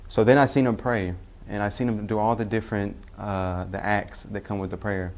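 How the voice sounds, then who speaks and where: A young man from Tennessee, telling his personal story in a halting, unhurried way with many restarts. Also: on the low side, level tone, a little hiss or hum. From Tennessee, USA. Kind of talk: personal testimony talk.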